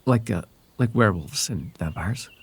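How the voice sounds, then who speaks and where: A man acts out a sly, whimsical fairy man in an intimate ASMR roleplay. His voice is husky and creaky, with pitch that swings expressively. He talks in a hesitant, conversational way, softly possessive underneath. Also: lively intonation, normal speed, exaggerated voice. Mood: gently curious.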